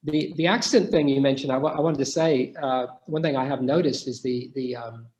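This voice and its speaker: A man's voice: medium pitch, fairly quick, a little mellow. Middle-aged man, academic Q&A speaker, hesitant and reflective, roomy echo. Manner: thoughtful, many restarts and hesitations. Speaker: guest speaker. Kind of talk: academic Q&A discussion.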